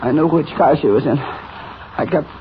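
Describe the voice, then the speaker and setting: An actor playing a badly wounded, defiant crook in a 1940s radio serial, gasping weakly in broken, slangy speech. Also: male voice, a little high, normal speed, natural voice. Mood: pained, defiant. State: weak, gasping as if dying. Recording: muffled vintage radio recording, light noise.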